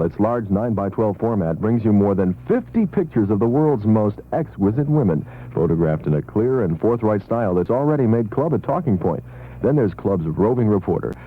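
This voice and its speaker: A man reading a scripted 1970s radio commercial as an announcer. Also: lowish voice. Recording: husky-sounding, narrow old radio recording.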